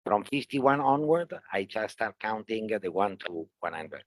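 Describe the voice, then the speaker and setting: An older man with an Italian accent addresses an audience as a panelist. His voice sits fairly low, and he speaks casually, pausing often, with a joking touch. The sound is dry. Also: expressive, no room sound. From Italy. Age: 60s or older. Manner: jokey, halting with many pauses. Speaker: panelist, property entrepreneur. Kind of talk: casual self-introduction to a live audience.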